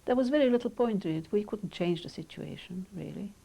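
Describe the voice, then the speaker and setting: An older woman whose first language is Hungarian, speaking in measured, reflective sentences with pauses as she is interviewed about her childhood. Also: mid-range voice, expressive, gravelly, dark tone, slightly rough. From Romania. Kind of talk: oral history interview.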